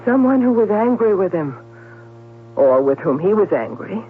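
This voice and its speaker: A woman's voice: medium pitch, big pitch swings, normal speed, natural voice. An actress playing a shrewd, self-possessed middle-aged newspaperwoman in a radio drama, speaking emphatically and articulately. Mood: urgent, persuasive. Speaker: actor. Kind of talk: 1970s radio drama acting. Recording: narrowband old radio broadcast with hum.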